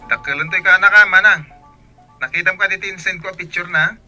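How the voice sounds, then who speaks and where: A man's voice: high-pitched, brisk, pushed and bright, soft background music. A man playing a pushy younger brother in a radio drama, wheedling, with a Filipino accent. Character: pushy younger brother asking his sister for money.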